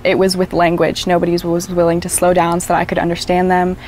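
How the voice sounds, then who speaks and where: A woman's voice: on the low side, monotone, fast, a little mellow, a little hiss or hum. A young woman whose first language is Japanese, speaking reflectively with plenty of filler words. She is recorded outdoors on a lapel mic with faint ambience in the background. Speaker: interviewee. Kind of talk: documentary interview. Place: outdoors by a wooden wall.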